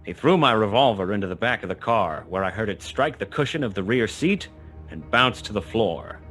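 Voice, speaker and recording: A hard-boiled private detective tells his story in a radio play. His voice is low, wry and laconic in classic noir style. Music sits faintly underneath. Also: expressive, moderate pace, a bit bright. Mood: wry, tense narration.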